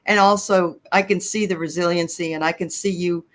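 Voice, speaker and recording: A woman's voice: on the low side, fairly even intonation, a little fast. A woman hosting a live online interview show, affirming her guest with frequent short agreements. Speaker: host.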